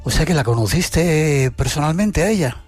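A man's voice: a little high, expressive, normal speed, darkish tone, breathy edge, no echo, soft background music. A man hosting an interview, introducing his guest and asking questions in an eager presenter manner. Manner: enthusiastic, presenter-like. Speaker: host. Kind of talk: radio or TV interview.

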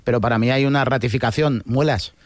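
A man hosts casual sports talk. His voice sits at an average pitch, recorded dry with no room sound. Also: quick pace, dry recording. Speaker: radio sports show host.

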